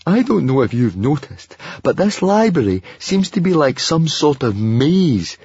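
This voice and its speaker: A man voicing an anxious, grumbling boy in a storybook, squeaky and easily panicked. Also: slow pace, vocal fry, no room sound, dull audio, exaggerated voice. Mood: grumbling, worried. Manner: squeaky, howling, stammering.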